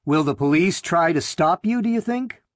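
A man's voice: a little high, lively intonation, moderate pace, no room sound, studio quality, natural voice. A man voicing a formal, courteous male TV news announcer in an audiobook.

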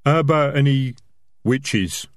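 A man voicing a dim, cautious highwayman in a storybook, chatty and plodding in manner. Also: slow, dry recording, close to an everyday voice. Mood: wary. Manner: plodding, casual, working through a list. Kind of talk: audiobook character reading.

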